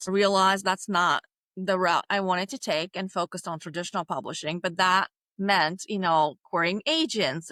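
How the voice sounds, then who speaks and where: A middle-aged woman talks in a casual, animated way, laughing often and restarting her sentences. Her voice sits a little low for a woman. The sound is dry and close, with no room echo. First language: Bulgarian. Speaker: guest author. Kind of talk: casual interview answers.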